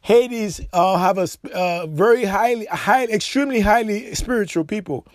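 A man's voice: sits high, moderate pace, dry recording, clean studio sound. A man from Haiti, delivering an impassioned, repetitive monologue straight to his viewers. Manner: emphatic, repetitive, preachy.

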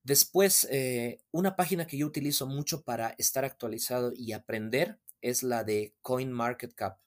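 A man with a mid-range voice guests on a casual podcast. He explains things in a measured, patient way and fills his pauses with "eh". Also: medium pitch.

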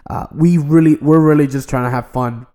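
A man's voice: on the high side, steady tone, brisk, dark tone. Rambling young man co-hosting a podcast. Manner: earnest, rambling, many restarts. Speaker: podcast co-host. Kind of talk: casual podcast chat.

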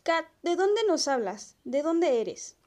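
A woman's voice: high-pitched, very animated, slow, darkish tone. A woman hosting an interview, introducing and putting prepared questions to a guest. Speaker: interview host.

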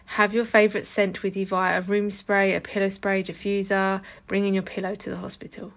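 A woman with a croaky, gravelly voice that stays fairly flat in pitch. She talks in a chatty, informal way and swears now and then. She hosts a casual solo podcast as a hypnobirthing teacher. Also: brisk.

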